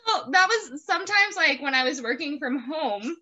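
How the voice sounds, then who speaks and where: A woman hosting a casual interview over a video call, chatting playfully and quick to laugh. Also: high-pitched, expressive, bright, forceful tone, muffled recording. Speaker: host interviewing a guest. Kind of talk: casual chat and quick-fire questions.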